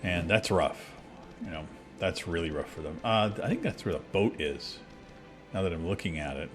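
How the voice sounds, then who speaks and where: A man with a fairly low voice talks while playing a game, rambling openly and circling back through fillers and false starts. Soft game music sits faintly under him. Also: big pitch swings, normal speed. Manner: rambling and candid, many fillers and restarts. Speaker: gaming video creator. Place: abroad, recording at a computer.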